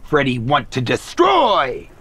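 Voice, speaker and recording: An actor playing a goofy, upbeat, over-excitable young male superhero fan in a video game. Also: mid-range voice, takes it slowly, breathy edge, full character voice. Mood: exuberant. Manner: exuberant, goofy. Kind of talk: video game cutscene acting.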